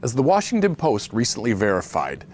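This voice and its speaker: A man's voice: medium pitch, wildly swooping pitch, brisk, a little mellow, a little hiss or hum. A man interviewing a guest and narrating with a wry, conversational touch.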